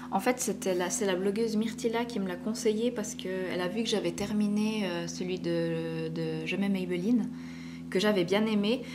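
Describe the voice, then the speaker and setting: Chatty Swiss French woman with hum. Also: medium pitch. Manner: chatty, many fillers, drops to whispers while swatching. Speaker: beauty vlogger. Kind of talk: casual haul chat. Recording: noisy home recording with hum.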